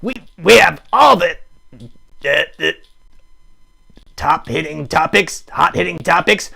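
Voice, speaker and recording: A man voicing a chatty, goofy puppet who hosts a live show, rambling playfully in a raspy, croaky voice. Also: fairly high pitch, expressive, takes it slowly, sharp tone, exaggerated voice. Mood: rambling, casual. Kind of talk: improvised comic rambling to live chat.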